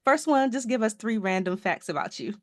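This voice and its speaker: A woman hosting a beauty podcast, lively and chatty and quick to laugh. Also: fairly high pitch, dry recording, clean studio sound. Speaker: podcast host. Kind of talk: casual chat.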